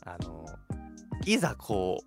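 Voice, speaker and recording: A man's voice: low-pitched, huge pitch swings, slow. A young man co-hosting a casual radio chat, playfully acting out little quotes and sounds. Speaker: radio show co-host. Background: background music.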